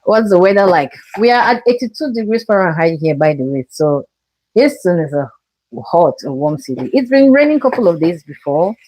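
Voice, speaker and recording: A woman's voice: lowish voice, sing-song, fairly quick, darkish tone, no room sound, low-quality recording. A woman from Cameroon hosting a live cooking stream from her kitchen, talking viewers through each step and greeting them. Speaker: cooking show host.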